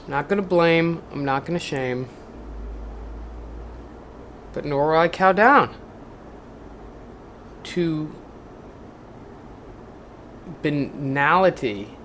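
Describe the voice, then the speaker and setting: A vlogger who reads a book passage aloud in a raised, measured voice, then talks casually and bluntly with plenty of swearing and rambling fillers. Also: medium pitch, animated, a bit bright, a little hiss or hum. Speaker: video maker reading a book aloud.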